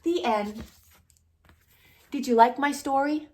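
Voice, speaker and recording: A woman reading a picture story aloud to young children as a storyteller, playful and expressive, speaking directly to the child with questions and exaggerated reactions. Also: high voice, slow pace, a little hiss or hum. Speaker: children's storyteller and channel host.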